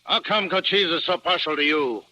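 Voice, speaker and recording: Radio Western heavy: combative American townsman, high, piercingly bright delivery, sarcastic and distrustful. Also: normal speed, rough recording. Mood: bitter. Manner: taunting, sarcastic. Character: sneering, hard-bitten frontier townsman, distrustful and combative. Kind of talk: 1950s radio drama acting.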